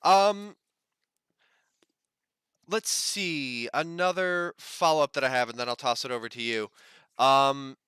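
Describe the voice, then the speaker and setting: A man's voice: mid-range voice, slow pace, long pauses, pushed and bright, clean tone, no room sound, clean studio sound. A man co-hosting a gaming podcast, giving news follow-ups in a wry, self-deprecating way. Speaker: podcast co-host. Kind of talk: casual gaming news chat.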